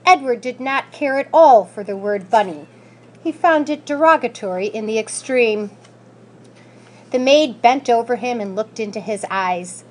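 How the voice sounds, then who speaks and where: A woman reading a children's story aloud in an expressive, scripted narrating style. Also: on the high side, measured pace. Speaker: school staff member reading aloud.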